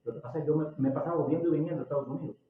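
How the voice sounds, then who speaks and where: A man in his sixties from Puerto Rico with a Puerto Rican accent, preaching a sermon emphatically, getting loud and slowing down on key words. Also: a little high. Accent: Puerto Rican Spanish. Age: 60s. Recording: tinny, echoey, distant recording.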